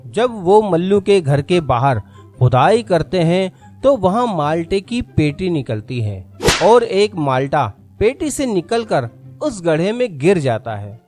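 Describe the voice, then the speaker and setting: A male narrator reads a scripted story. His voice sits slightly high, and music plays behind him. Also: very animated, darkish tone.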